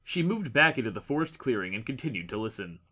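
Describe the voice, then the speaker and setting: A man reads scripted fiction as a dramatic audiobook narrator. His voice sits at an average male pitch and has a bright, forceful tone. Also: very animated, quick pace.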